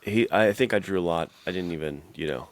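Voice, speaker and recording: A man's voice: low voice, brisk, no echo. A man co-hosting a podcast, talking casually and informally with plenty of slang. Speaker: podcast co-host.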